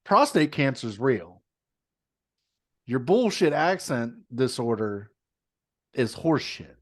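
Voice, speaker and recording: A man co-hosting a casual podcast, going off on a loud, profane rant. He sounds incredulous and mocking, and his voice jumps higher when he gets worked up. His pitch sits in the middle for a man. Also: sing-song, slow. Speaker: podcast co-host. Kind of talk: casual chat.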